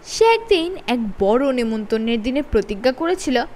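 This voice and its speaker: A woman reading a funny children's story aloud as host of her own channel, with expressive, sing-song emphasis. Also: sits high, moderate pace, a little hiss or hum.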